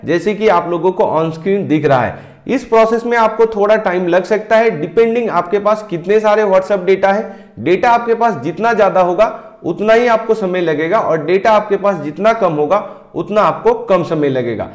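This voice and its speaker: A man in his thirties walking viewers through a software tutorial step by step, speaking directly to them. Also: high-pitched, reverberant. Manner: steady step-by-step explaining, addresses viewers directly. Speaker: tech tutorial host. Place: indoor room, screen-recording overlay.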